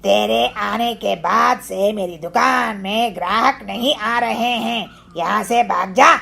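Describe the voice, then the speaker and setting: A woman voicing a bullying male shopkeeper in a cartoon story, menacing and spiteful, with drawn-out threats. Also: mid-range voice, creaky, exaggerated voice. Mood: threatening. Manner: threatening, drawn-out shouted warnings.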